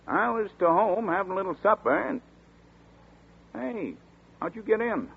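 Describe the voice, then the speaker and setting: An actor playing a chatty small-town sheriff's deputy who talks in a folksy rural dialect, in 1940s radio acting. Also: male voice, high-pitched, expressive, normal speed, vocal fry, husky, exaggerated voice. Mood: gruff. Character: folksy drawling small-town male deputy, middle-aged. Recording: tinny scratchy 1940s radio broadcast.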